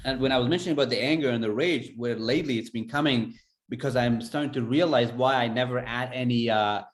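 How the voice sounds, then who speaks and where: Earnest man telling a personal story. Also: medium pitch. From Afghanistan. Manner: earnest, halting, many fillers. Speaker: podcast host.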